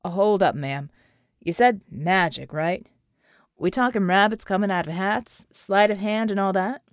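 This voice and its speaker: A woman's voice: medium pitch, unhurried, no echo, clean studio sound, pitched below the performer's own voice, close to an everyday voice. A woman voicing a wary, skeptical ex-soldier man, blunt but polite, in an audiobook. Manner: clipped, folksy, incredulous, says ma'am.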